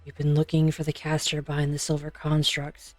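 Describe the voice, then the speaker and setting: A woman's voice: low-pitched, completely flat delivery, fairly quick, no room sound, faint music behind, natural voice. Grave woman scientist, voiced by an amateur actress, close dry sound. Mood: grave, warning. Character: Silence, grave principled woman scientist, quietly angry. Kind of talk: amateur game fan dub.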